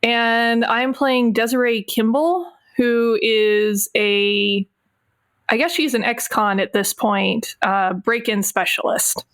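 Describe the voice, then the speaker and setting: A woman's voice: fairly high pitch, fairly even intonation, slow pace, fairly bright tone, clean tone. A woman chatting casually as a player in a tabletop game. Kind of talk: casual chat about a game character.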